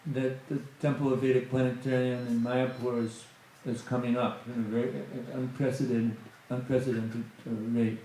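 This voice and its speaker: A man's voice: mid-range voice, flat delivery, moderate pace, few pauses, dark tone, a little hiss or hum. Man preaching in an echoey hall. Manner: conversational, many fillers like "you know". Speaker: guest speaker at a temple satsang. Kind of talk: spiritual talk to a congregation. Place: temple hall.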